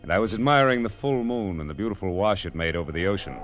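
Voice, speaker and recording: A man's voice: lowish voice, animated, quick pace, a bit bright, soft background music. An actor playing a dry, wisecracking hard-boiled male detective in a vintage radio drama. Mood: wry narrating. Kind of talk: 1940s radio detective narration and acting. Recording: dull old radio recording.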